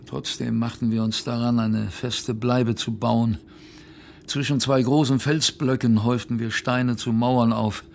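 An actor playing a plain-spoken former polar sailor, narrating a radio play as a wry, reflective recollection with a gravelly, husky voice. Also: male voice, mid-range voice, little pitch movement, a little slow, slight background noise. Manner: colloquial, hesitant, wry, reflective.

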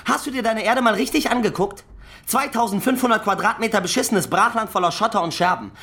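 A man's voice: sits high, brisk, bright, forceful tone, slightly rough, exaggerated voice. A man playing a restless, mouthy young petty thief in a German radio drama. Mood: provocative.

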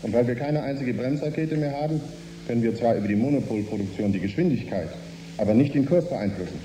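An actor playing a matter-of-fact spaceship navigator who explains things precisely in a radio drama. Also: male voice, mid-range voice, expressive, quick pace, dark tone, close to an everyday voice. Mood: explanatory. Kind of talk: German radio drama acting. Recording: roomy studio with faint hum.

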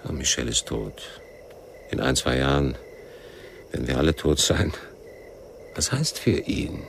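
Radio crime drama: world-weary militant captain, deep register, cool interrogator, slightly noisy recording. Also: male voice, creaky, full character voice. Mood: weary, cynical. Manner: measured interrogation with abrupt probing questions. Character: world-weary, shrewd Palestinian guerrilla captain, coolly probing.

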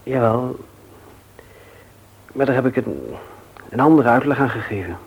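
An actor playing an earnest, disappointed suitor who speaks haltingly in a radio drama. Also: male voice, mid-range voice, animated, breathy edge, low-quality recording. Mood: taken aback, hurt. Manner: halting, hesitant. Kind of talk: intimate radio drama dialogue.

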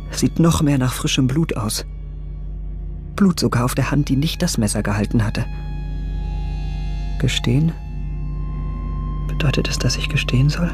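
An actor playing a guilt-racked, vain young gentleman in a radio drama, brooding and questioning himself, at times in whispers. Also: mid-range voice, lightly acted. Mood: disgusted dread.

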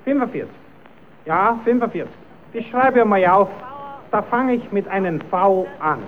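A high-voiced, cheeky Kasperl rascal. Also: male voice, expressive, measured pace. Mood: naive curious. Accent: southern German. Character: Kasperl, cheeky, quarrelsome, naive male puppet-show rascal. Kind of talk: old radio play, comic dialect acting. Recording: tinny, narrow-band old radio recording.

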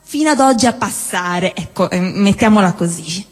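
A woman acting a wry, teasing, restless sweetheart in halting theatrical dialogue, repeating herself as she goes. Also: medium pitch, expressive, faint music behind, close to an everyday voice. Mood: wry, hesitant. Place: theatre hall, live show. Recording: echoey hall, live stage recording.

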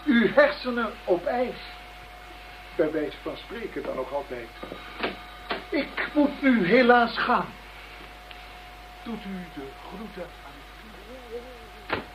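An actress playing a cold, gloating villain in a radio drama, formal, mocking and politely menacing. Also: female voice, mid-range voice, sing-song, takes it slowly, pauses often, heavy background noise.

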